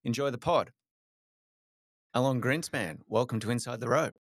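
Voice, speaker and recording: A man hosting a podcast interview, speaking in a measured, steady way with a few fillers. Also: mid-range voice, dry recording, studio quality. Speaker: podcast host.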